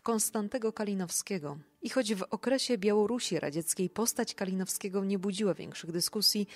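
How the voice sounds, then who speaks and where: A woman's voice: medium pitch, darkish tone. A woman with a mid-range voice narrates as a podcast host. Her delivery is scripted, in the style of a documentary-type audio programme.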